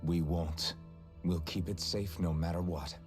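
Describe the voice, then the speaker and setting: An actor voicing a calm, caring young male swordsman hero in a video game cutscene, speaking in a steady, reassuring way. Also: sits low, unhurried, over music.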